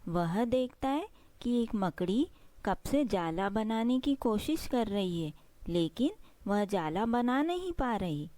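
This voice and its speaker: A woman's voice: a little high, big pitch swings, slow, dark tone. A woman narrating a scripted children's story as the storyteller.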